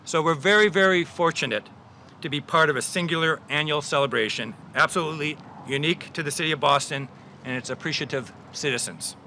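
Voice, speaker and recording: A man's voice: a little high, brisk, sharp tone, slight background noise. A man giving formal prepared remarks at an outdoor press conference, repeating words for emphasis. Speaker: conductor. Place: outdoors at a city event.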